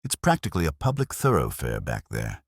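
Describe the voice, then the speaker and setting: Flustered publican caught out, voiced by a male narrator: low voice, pleading and jumpy, swinging in pitch. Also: wildly swooping pitch, breathy edge, no room sound, clean studio sound, full character voice. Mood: defensive. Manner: hurried, pleading, defensive.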